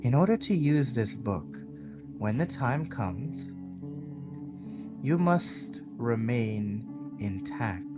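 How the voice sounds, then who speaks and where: A man voicing a solemn, cryptic and sympathetic mysterious woman in an audio drama, speaking in formal, measured phrases. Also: mid-range voice, sing-song. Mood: solemn, foreboding. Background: guitar music.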